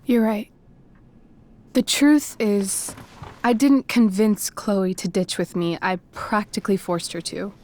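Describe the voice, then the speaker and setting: A woman's voice: medium pitch, lively intonation, slow, darkish tone. An actress playing a poised, persuasive teenage schoolgirl with an earnest, slightly theatrical delivery in a video game drama. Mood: confessional.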